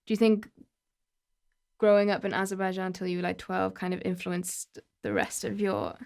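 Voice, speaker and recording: A woman's voice: mid-range voice, level tone, moderate pace, vocal fry, darkish tone. A woman hosting a podcast, chatty and teasing, with plenty of "like" fillers in her casual questions. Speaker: podcast host. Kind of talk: casual interview chat.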